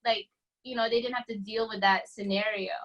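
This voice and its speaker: A woman's voice: mid-range voice, steady tone, a little fast, fairly bright tone, muffled recording. A woman hosting a podcast, chatting casually and hesitantly, with frequent fillers and restarts. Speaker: podcast host. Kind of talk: casual chat.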